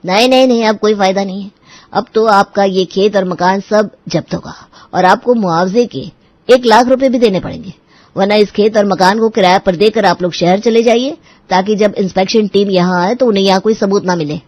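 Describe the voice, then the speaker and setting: A woman's voice: mid-range voice, normal speed, a little mellow, no echo, close to an everyday voice. A woman playing a stern, scolding male government official handing out fines in a cartoon story. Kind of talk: Hindi moral story cartoon acting.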